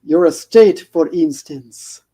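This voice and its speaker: A man reading a parody story aloud, voicing a seductive female spider hostess in a purring, drawn-out, theatrical way. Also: a little high, warm tone, breathy edge.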